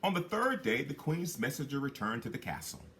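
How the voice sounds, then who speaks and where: A man reading a children's fairy tale aloud as a storyteller, with dramatic, drawn-out phrasing for emphasis. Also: mid-range voice, big pitch swings, a bit bright, a little hiss or hum. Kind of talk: expressive read-aloud fairy tale narration.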